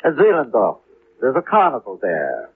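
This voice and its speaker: Melancholy German male police official, German accent, formal, measured, courteous, tinny radio drama sound. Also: mid-range voice, wildly swooping pitch, vocal fry, breathy edge, heavily acted. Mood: dry, resigned. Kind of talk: 1950s radio drama acting. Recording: tinny, hissy 1950s radio recording.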